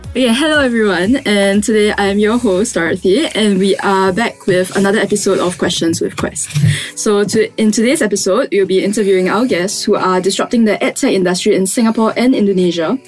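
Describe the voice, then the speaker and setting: A woman's voice: mid-range voice, fairly quick, hardly any pauses, soft background music. A woman hosting an interview podcast, introducing guests and asking questions with frequent short acknowledgements. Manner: bright opener, then frequent short backchannels. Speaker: podcast host.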